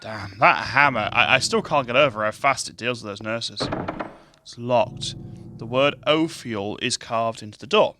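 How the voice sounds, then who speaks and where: A man with a very deep, bassy voice, hosting casual let's-play commentary on a gaming headset mic, chatty and self-deprecating. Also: normal speed, bright, forceful tone, a little hiss or hum. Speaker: gaming channel host. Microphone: gaming headset with adjustable boom mic. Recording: game music playing under voice. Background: game music and sounds.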